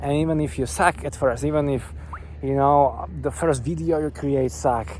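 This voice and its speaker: A man's voice: mid-range voice, fairly even intonation, moderate pace, darkish tone, slight background noise. A man from Slovakia, vlogging eagerly on an outdoor beach, with many fillers and restarts. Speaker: online business vlogger. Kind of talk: upbeat to-camera vlog pitch.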